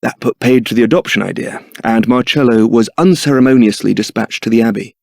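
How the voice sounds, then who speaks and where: An actor playing a young templar knight in a video game, suave, wry and brooding, with a theatrical, articulate delivery. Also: male voice, medium pitch, a little fast, vocal fry, darkish tone, dry recording, studio quality, natural voice. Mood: wry, bitter. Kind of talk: video game voice acting, dramatic scripted dialogue.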